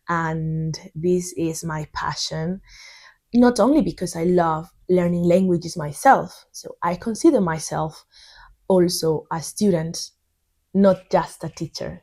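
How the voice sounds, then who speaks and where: Woman, young adult, native Spanish speaker, husky low voice, thoughtful rambling English teacher. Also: darkish tone. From southern Spain. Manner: thoughtful, rambling, with many fillers. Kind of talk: informal video lesson.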